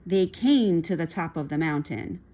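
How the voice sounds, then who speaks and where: A woman reading a storybook aloud to children in an expressive style. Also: low-pitched, normal speed, a little hiss or hum.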